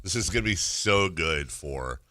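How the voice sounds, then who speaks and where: Man, nasal, deep voice, lively swings, bright edge, dry studio mic, chatty sports radio host. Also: a little slow, dry recording. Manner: joking, self-mocking, laughs often. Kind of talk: casual morning radio banter. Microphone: studio microphone.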